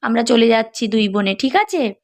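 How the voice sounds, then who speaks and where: Woman acting high-voiced, coaxing elderly village mother-in-law. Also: sing-song, moderate pace, darkish tone. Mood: gently chiding. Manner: coaxing, homely, pleading. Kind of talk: cartoon family drama acting.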